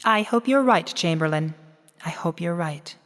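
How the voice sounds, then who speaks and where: A woman plays a regal pony monarch with a lowish voice that carries long hall reverb. She is solemn, measured and burdened with worry, as in a radio drama. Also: animated, close to an everyday voice. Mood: grave, worried. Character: grave, worried female pony monarch commanding her court.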